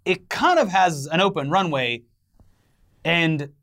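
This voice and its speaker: A man with a slightly high voice co-hosts an entertainment news show. He moves between scripted reporting and loose, conversational banter. His delivery carries a wry, sarcastic edge. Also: expressive, slow pace, a bit bright. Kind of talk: semi-scripted news commentary with casual banter.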